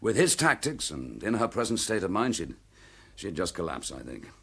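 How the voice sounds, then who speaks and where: An actor playing a decisive, protective British police inspector under suspension, speaking briskly and with command in a radio drama. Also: male voice, sits low, very animated, fairly bright tone, breathy edge, heavily acted. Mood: measured, resolute.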